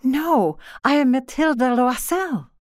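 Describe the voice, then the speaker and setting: Woman narrator as careworn working wife, proud, frank, emphatic, higher-pitched, dry sound, storybook dialogue. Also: lively intonation, slow pace, no room sound. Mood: frank, weary.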